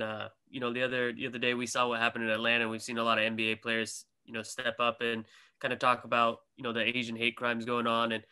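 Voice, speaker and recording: A man's voice: mid-range voice, sharp tone, clear, resonant tone. A man posing a careful, serious question as a reporter at a press conference.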